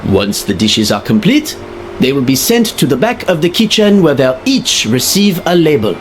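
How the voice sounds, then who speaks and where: A man's voice: a little high, big pitch swings, moderate pace, slight background noise. A man playing a grand, formal showman hosting a cooking contest in a video game. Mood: formal, explanatory. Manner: theatrical, formal announcing.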